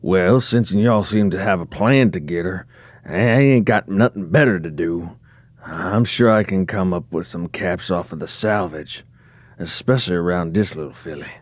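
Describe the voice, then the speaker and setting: A man's voice: sits low, normal speed, no room sound, natural voice. A man voicing a big, protective sand dog drifter with a drawl in an audio drama. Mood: folksy, protective.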